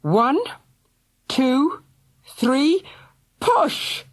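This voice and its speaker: A woman's voice: fairly high pitch, big pitch swings, measured pace, voice pushed up. A woman voicing a firm father urgently calling for his children. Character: firm, determined father searching for kids.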